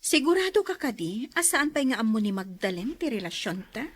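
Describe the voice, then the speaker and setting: A woman's voice: fairly high pitch, big pitch swings, fairly quick. A woman playing a demanding, scheming mistress in an Ilocano radio drama. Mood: coaxing. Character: clingy, scheming mistress, young to middle-aged, demanding.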